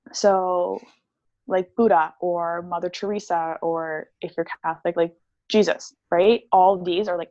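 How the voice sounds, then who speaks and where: A woman's voice: on the low side, a little mellow, no room sound, dull audio. A young woman talking as a podcast guest about her healing practice, chatty and casual, with many fillers. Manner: chatty, explains with lots of "like" and "um".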